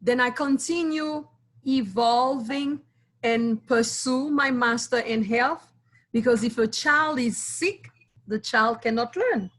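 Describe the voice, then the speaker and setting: A woman speaks in a somewhat high voice as an interview guest. She answers earnestly and with pride, laying out her points in short phrases broken by pauses. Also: fairly bright tone. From Haiti. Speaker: guest, school board candidate.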